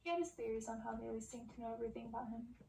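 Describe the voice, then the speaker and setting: A woman's voice: fairly high pitch, flat delivery, rapid-fire, vocal fry, darkish tone. A woman reading a story aloud in an ASMR narration style. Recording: roomy, distant, faint background noise.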